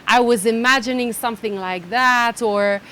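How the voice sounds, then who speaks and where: Woman from Belgium, native Dutch speaker, fairly high voice. Reflective, self-deprecating interview guest, faint background noise. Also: moderate pace, a bit bright. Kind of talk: casual interview conversation.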